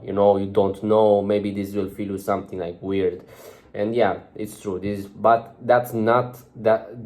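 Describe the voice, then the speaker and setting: A man's voice: lowish voice, darkish tone. A young man speaking English as a second language, giving casual advice to the camera in a loose, chatty way, full of fillers and restarts. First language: not English. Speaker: vlogger giving social advice.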